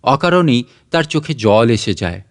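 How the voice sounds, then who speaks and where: A man's voice: medium pitch, expressive, moderate pace. A man reading a literary short story as scripted audiobook narration.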